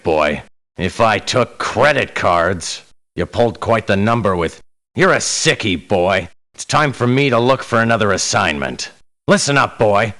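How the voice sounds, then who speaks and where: Casting: menacing veteran soldier of fortune for a game, gravelly, hard-edged delivery, blunt and belittling. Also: male voice, fairly low pitch, bright, forceful tone, exaggerated voice. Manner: blunt, folksy, talks down to the listener. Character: gruff, menacing veteran mercenary man, stern and condescending. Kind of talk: video game character voice lines.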